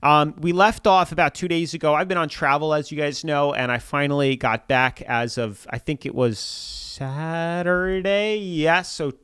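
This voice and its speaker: A congested man with a slightly high, smooth voice runs through market charts in a chatty, repetitive way. He keeps coming back to the same phrases. The sound is dry and close, with no room around it. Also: measured pace, few pauses, a bit bright, no echo. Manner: chatty, repeats filler "like", pushes viewers to subscribe. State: congested from a cold. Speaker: crypto channel host. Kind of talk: casual market commentary.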